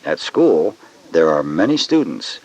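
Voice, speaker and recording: A man reading scripted educational narration for children in a measured, instructive way, on a dull old cassette recording. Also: low-pitched, big pitch swings, darkish tone.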